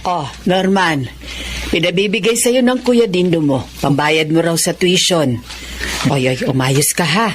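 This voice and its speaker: A woman's voice: on the low side, a little slow, faint music behind, close to an everyday voice. A woman playing a stern but caring older mother of a poor family in a Filipino radio drama. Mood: admonishing.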